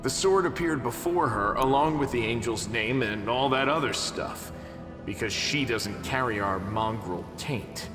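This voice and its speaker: A man's voice: mid-range voice, unhurried, lightly acted. An actor playing an earnest, humble young mongrel man in a video game, speaking emphatically in an echoey hall over music. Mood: reproachful.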